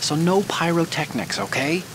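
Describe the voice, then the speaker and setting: An actor playing an earnest, brainy hacker who gives articulate, slightly smug mission support in a video game. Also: male voice, on the high side, lively intonation, moderate pace, a bit bright, slight background noise, poor audio. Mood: earnest, briefing. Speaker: mission support over radio. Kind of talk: dramatic video game voice acting.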